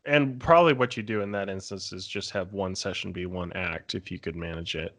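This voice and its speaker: A man with a slightly low voice takes part in a podcast as a guest. He talks in a casual, conversational style. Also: fairly quick, a bit bright.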